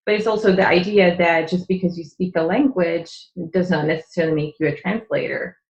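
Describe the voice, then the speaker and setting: Low-voiced woman on an echoey line. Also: brisk, a little mellow, highs cut off. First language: Czech. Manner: earnest, explanatory, with frequent fillers. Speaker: podcast guest. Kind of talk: conversational interview.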